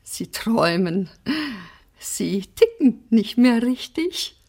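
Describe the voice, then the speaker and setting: An actress playing a brash, eccentric apartment resident in a radio drama, theatrical, flippant and defiant. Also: female voice, a little high, sing-song, takes it slowly, a little mellow, exaggerated voice. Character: brash, eccentric, bossy female apartment resident opening others' letters. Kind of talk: German radio drama acting.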